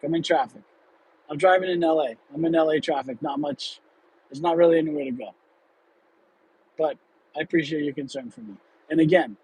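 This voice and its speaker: A man's voice: fairly high pitch, a little mellow, husky, low-quality recording. A man in his late thirties, hosting an unplanned livestream while driving in traffic. He talks casually and candidly, rambling with fillers and restarts. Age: 30s. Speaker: livestream host. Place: car in traffic.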